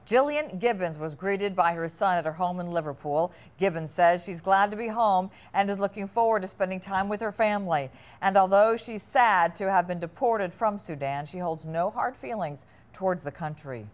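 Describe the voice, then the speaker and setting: A woman's voice: medium pitch, moderate pace, few pauses. A woman co-anchoring a newscast, reading scripted news and entertainment items.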